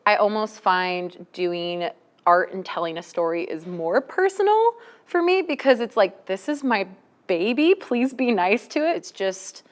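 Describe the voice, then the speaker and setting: Woman streamer type chatting casually, mid voice, animated, does little acted out asides. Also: medium pitch, unhurried. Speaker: guest, comic creator and streamer. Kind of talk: casual chat.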